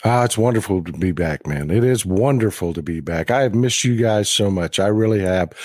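A man with a cold and a slightly hoarse voice, telling a travel story enthusiastically as a podcast guest. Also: lowish voice, animated, moderate pace, darkish tone, no echo, clean studio sound. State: has a cold, voice a bit hoarse. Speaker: regular guest. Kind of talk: casual podcast chat.

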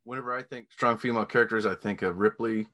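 Bright-voiced easygoing male host. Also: lowish voice, quick pace. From Missouri. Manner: relaxed, easygoing, good-humored. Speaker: podcast host. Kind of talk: casual interview chat.

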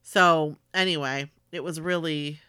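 A woman's voice: sits low, steady tone, unhurried, pushed and bright, smooth, no echo, studio quality. A woman co-hosting a casual podcast about a film, agreeable and hesitant, with many fillers. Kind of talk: casual movie discussion.

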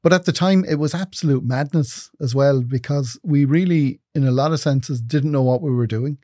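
A man in his fifties, originally from England, answering interview questions in an articulate, measured way. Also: mid-range voice, mellow, no room sound, clean studio sound. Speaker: broadcasting executive guest.

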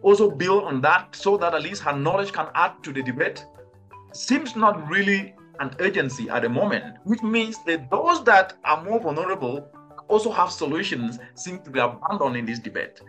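A man's voice: fairly high pitch, expressive, moderate pace, fairly bright tone, faint music behind. A man from Cameroon, a climate expert, answering interview questions earnestly and with rhetorical questions. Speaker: guest, climate change expert.

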